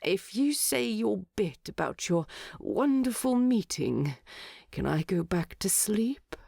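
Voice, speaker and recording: A woman's voice: mid-range voice, lively intonation, slow, vocal fry, warm tone, no echo, studio quality, pitched above the performer's own voice, heavily acted. A woman voicing a sleepy, grumpy male hobbit who mutters, in an audiobook of a fantasy story. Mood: weary grumbling. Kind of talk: audiobook character voice.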